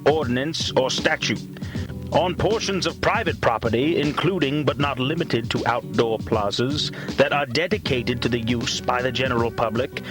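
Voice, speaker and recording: Music plays clearly behind a male narrator with a mid-range voice. He delivers his script like a solemn public speech, pompous and deliberate, in the manner of a mock-serious documentary. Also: medium pitch.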